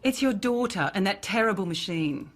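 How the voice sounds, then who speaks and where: A woman's voice: mid-range voice, expressive, normal speed, noisy background, lightly acted. A woman playing a jealous, anxious mother in a radio drama, insistent and scolding in manner. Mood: agitated.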